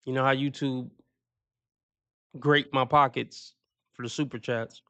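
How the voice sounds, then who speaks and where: A man hosts a livestream and reacts to clips in casual, blunt commentary. His mid-range voice has a gravelly fry to it. The sound is dry and close, with no room around it. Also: mid-range voice, level tone, unhurried, fairly bright tone, dry recording.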